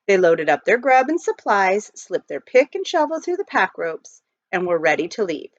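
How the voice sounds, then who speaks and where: Woman, expressive storybook narration, animated pitch swings, dull lo-fi recording. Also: moderate pace. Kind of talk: expressive read-aloud narration of a children's novel.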